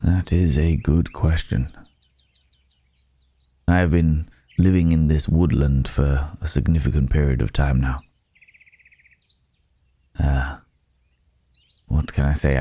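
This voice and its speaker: Man voicing a very deep, brooding werewolf recluse. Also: warm tone, no echo, full character voice. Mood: musing, wry. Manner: hesitant, pensive, old-fashioned formal phrasing. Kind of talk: ASMR roleplay monologue.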